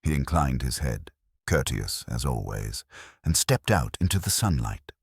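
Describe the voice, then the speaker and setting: A man narrating a scripted mystery story in a measured, dramatic, radio-drama storytelling manner. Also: a bass voice, big pitch swings, creaky, slightly rough, no echo, studio quality.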